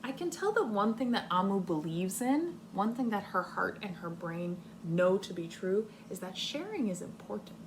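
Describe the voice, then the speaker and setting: A woman teacher reading a story aloud to young children in an expressive, deliberate way, pausing to put questions to them. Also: mid-range voice, noisy background.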